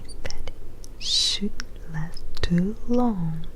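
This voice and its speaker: A woman whispering breathily and intimately in a scripted ASMR narration, lingering softly between short phrases. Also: fairly low pitch, extremely slow. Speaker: ASMR performer guiding a space journey.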